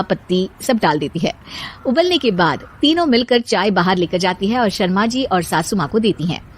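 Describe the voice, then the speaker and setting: A woman narrating a scripted moral bedtime story as the storyteller. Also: a little high.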